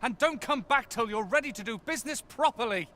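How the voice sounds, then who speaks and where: Gruff, barking video game shopkeeper. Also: male voice, very high-pitched, animated, a little fast, sharp tone, exaggerated voice. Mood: angry. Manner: threatening, barking. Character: gruff angry male shopkeeper, impatient.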